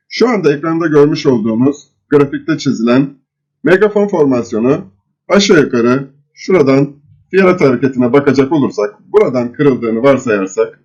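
A man presenting an instructional screen-share lesson, explaining step by step in a measured, didactic way. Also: on the high side, a little mellow, husky. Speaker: presenter of trading chart lessons. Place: voice-over recorded over screen capture. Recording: dull, lo-fi voice recording.

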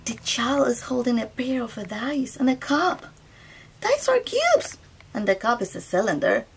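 Female children's co-host, very husky and creaky, high-pitched, exclamatory, explaining simply to little ones. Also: big pitch swings, measured pace. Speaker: co-host of children's read-aloud. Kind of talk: expressive read-aloud for young children.

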